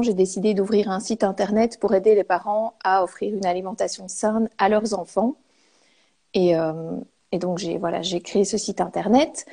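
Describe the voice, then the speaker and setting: A woman's voice: medium pitch, mellow. A woman in her thirties from Belgium, answering interview questions hesitantly with many fillers. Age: 30s. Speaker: guest, copywriter.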